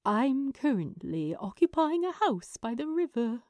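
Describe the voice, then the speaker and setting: A woman voicing a fussy, kindly, anxious gentleman angel in an audio story, speaking politely and hesitantly. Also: high-pitched, big pitch swings, unhurried, dry recording. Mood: hesitant, sympathetic. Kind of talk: audio fanfiction character acting.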